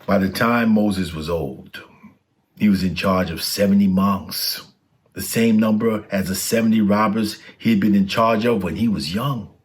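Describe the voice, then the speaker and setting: A man with a low-pitched voice narrates a storybook for children. He reads from a script, as a storyteller reading aloud. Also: normal speed.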